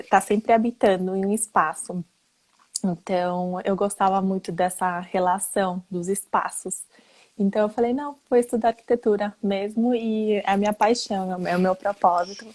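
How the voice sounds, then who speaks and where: A woman from Brazil talks as an architect guest in a relaxed online chat. Her voice sits at a middle pitch with a warm, dark edge. She explains things earnestly and conversationally. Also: moderate pace. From Brazil, grew up there. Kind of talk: casual live online chat.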